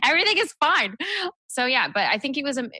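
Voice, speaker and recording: A woman comic from the United States chats at a quick clip, her voice bright and forward. She fills her talk with "like" and "you know," and the sound is dry and close. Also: high voice, big pitch swings, no room sound. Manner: chatty, full of "like" and "you know" fillers. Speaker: stand-up comic guest. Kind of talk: casual interview chat.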